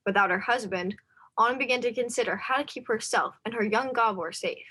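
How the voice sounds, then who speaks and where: A woman's voice: on the high side, fairly quick, pushed and bright, husky. A woman narrator delivering scripted dramatic storytelling. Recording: faint music underneath.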